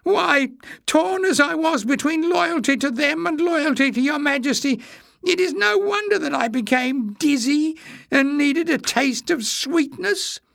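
A whiny squeaky little weasel of a man, way high, spluttering and pleading, audiobook character. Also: animated, measured pace, gravelly, fairly bright tone, dry recording, studio quality, full character voice. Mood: whimpering self-pity. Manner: spluttering, whining, melodramatic pleading. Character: whining, cowardly small male schemer, screechy and wheedling. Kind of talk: acted character voice in audiobook narration.

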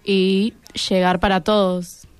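A woman's voice: mid-range voice, little pitch movement, slow, clean tone, dry recording. A teenage girl with an Argentine accent from Buenos Aires, answering in a radio interview as a school student.

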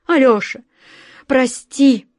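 A woman's voice: high voice, slow, dry recording, studio quality, voice pushed up. A woman voicing a nervous office director, a grown man who pleads and stammers in panic. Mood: panicky.

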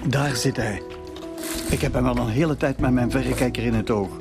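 A man's voice: mid-range voice, a little fast, gravelly, over loud music, close to an everyday voice. A man playing a watchful, self-certain neighbourhood witness in a radio drama. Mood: eager, indignant.

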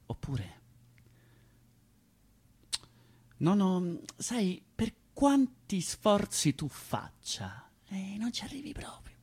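An anxious, self-doubting man, played by a male stage actor, delivers a theatrical radio monologue in a husky, fairly high voice. He stammers and repeats his words, and his pitch swings widely as he moves between breathy whispers and loud outbursts. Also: exaggerated voice. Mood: bitter, resigned.

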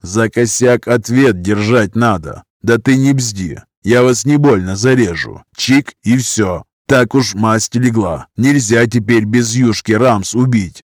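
A man's voice: fairly low pitch, slow, hardly any pauses, dry recording, clean studio sound. A man voicing a huge, scarred criminal who makes menacing, drawling threats in prison slang in an audiobook story. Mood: cold, threatening.

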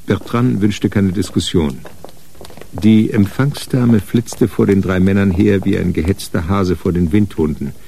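A man narrating a crime radio drama in a measured, dry storytelling manner. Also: deep voice, steady tone, gravelly, dark tone, a little hiss or hum. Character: sober male narrator of a detective story.

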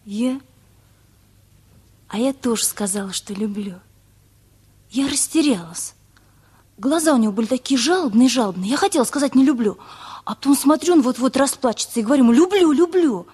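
An actress playing a lively, chatty girl just out of school, telling things artlessly in a radio play, with a husky voice. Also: sits high, moderate pace, long pauses. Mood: candid, animated.

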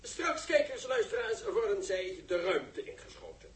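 An actor playing a pompous, easily flustered live reporter in a 1960s radio drama, speaking in formal, florid phrases. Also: male voice, high voice, big pitch swings, normal speed, slight background noise. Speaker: television reporter. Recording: echoey, distant 1960s radio recording.

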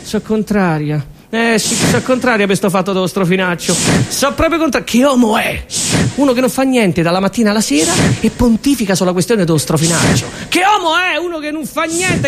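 A man with a Roman accent playing a fed-up Roman woman in a comic stage monologue, complaining in a deadpan, repetitive, mock-reasonable way. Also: extremely high pitch, brisk. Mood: deadpan grievance. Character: Roman woman, fed up with lazy live-in partner.

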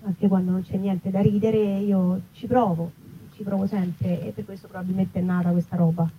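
A woman's voice: medium pitch, level tone, normal speed. A woman informally presenting her book at a live event, colloquial and wry. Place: festival event in an olive grove. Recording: dull live event recording, faint noise.

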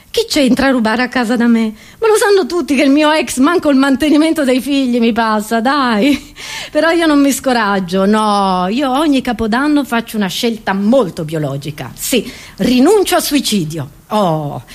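A middle-aged woman with an Italian accent performing a scripted comic monologue in a theatrical, expressive, sardonic manner. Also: mid-range voice. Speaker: comedian.

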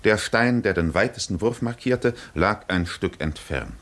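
A man narrating a literary 1950s radio drama in measured, deliberate phrases with pauses. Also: on the low side, expressive, a bit bright.

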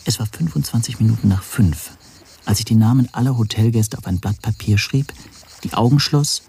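An actor playing a wry, scheming amateur sleuth who narrates his own story in a measured, literary style. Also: fairly low pitch, creaky, a little mellow, slightly rough, heavily acted. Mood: plotting, wry.

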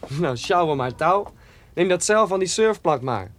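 Radio drama: young nephew, slightly high, expressive pitch, casual and stubborn. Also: male voice, measured pace, slight background noise. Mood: eager, protesting. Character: informal, headstrong city boy, the nephew.

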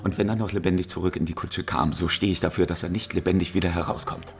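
Knarrender, tiefer, abgebrühter Auftragsmörder. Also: male voice, low-pitched, fairly even intonation, fast, faint music behind. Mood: grimly sly. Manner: laconic, sly, matter-of-fact. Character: callous, businesslike male hired killer, rough bandit. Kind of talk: 1950s German radio drama acting.

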